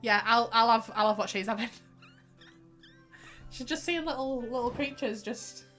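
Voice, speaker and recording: Give me a playful young woman with a light Yorkshire accent, bright and chatty. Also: on the high side, unhurried, faint music behind. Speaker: game streamer. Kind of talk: casual gameplay commentary.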